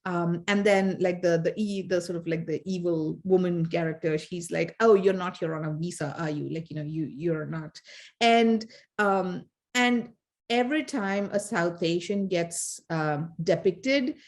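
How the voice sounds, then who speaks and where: A woman's voice: on the low side, a little slow. A woman from India, co-hosting a casual academic discussion, thoughtful and hesitant with many fillers. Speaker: podcast co-host, fan scholar.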